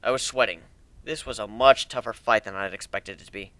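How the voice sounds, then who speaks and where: A man's voice: fairly low pitch, brisk, pushed and bright, dry recording. A man giving a dramatic first-person narration of a fantasy action story.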